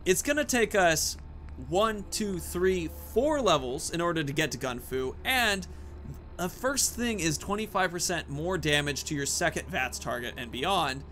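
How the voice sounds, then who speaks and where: High, animated man doing game commentary. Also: a little slow. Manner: chatty, thinking aloud. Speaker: gaming host. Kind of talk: casual let's play commentary. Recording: faint game noise behind the voice.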